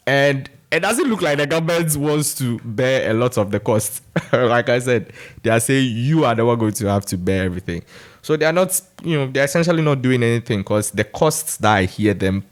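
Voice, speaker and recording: A man with a Ghanaian accent giving informal, conversational opinion commentary in a frank, critical way. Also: fairly low pitch. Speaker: commentator giving his views on national news.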